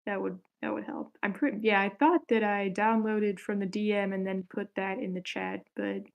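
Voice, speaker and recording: Woman, mid-range pitch, table read host, casual speech, online group call. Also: fairly even intonation, normal speed, gravelly, clean tone. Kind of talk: casual hosting of an online session.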